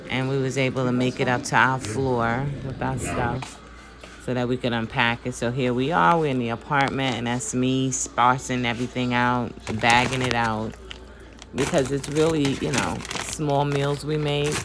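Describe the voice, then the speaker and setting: A woman casually narrating her own shopping video in a relaxed, chatty way, with slang and fillers. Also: rumbling low, moderate pace. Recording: voice-over under loud music.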